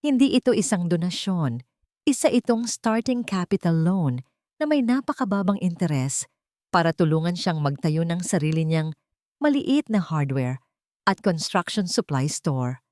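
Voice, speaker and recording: A woman with a Filipino accent narrates a romantic drama. Her voice sits on the low side and moves through wide, lively swings of intonation. The sound is dry and close, with no room around it. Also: a little slow, no echo. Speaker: story narrator.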